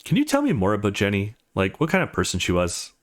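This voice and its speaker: A man's voice: fairly low pitch, huge pitch swings, quick pace. A man voicing an awkward, guarded eighteen-year-old male student from a visual novel, earnest and a little hesitant. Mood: curious.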